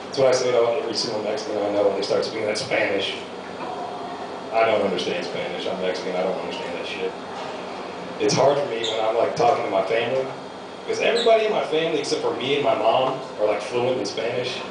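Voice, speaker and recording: A man's voice: fairly low pitch, quick pace, noisy background. Young man doing stand-up in echoey room. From United States. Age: young adult. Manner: loose rambling delivery with fillers. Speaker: amateur stand-up comic. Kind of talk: stand-up set. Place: small comedy venue. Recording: echoey room, mic far off.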